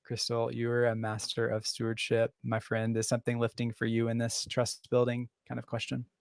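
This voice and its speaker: A man's voice: medium pitch, monotone, normal speed, vocal fry, dry recording, clean studio sound. Upbeat man moderating a panel. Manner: enthusiastic, affirming, laughs often.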